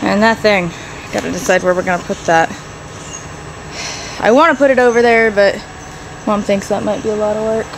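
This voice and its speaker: A woman's voice: medium pitch, moderate pace, long pauses, noisy background. Young woman casually vlogging her rabbit barn. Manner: chatty, thinking aloud with many ums. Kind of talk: casual vlog walkthrough. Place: straw-filled barn and yard, indoors and out. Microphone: handheld phone camera.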